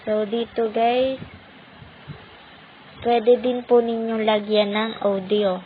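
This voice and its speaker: Unhurried Filipino woman on a tinny line. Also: fairly high pitch, flat delivery. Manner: polite, patient, uses many "po" courtesy markers. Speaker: tutorial channel host. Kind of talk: step-by-step phone app tutorial. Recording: tinny phone-like audio with faint noise.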